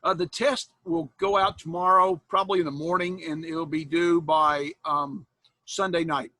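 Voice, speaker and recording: A man in his sixties from Iowa, a college instructor chatting casually with students on a video call, folksy and quick to laugh. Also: on the high side, fairly even intonation, pushed and bright, no echo. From Iowa, USA. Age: 60s. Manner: folksy, joking, laughs often. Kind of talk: casual chat with students after an online class. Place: home, indoors, on a video call. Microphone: computer mic on a video call.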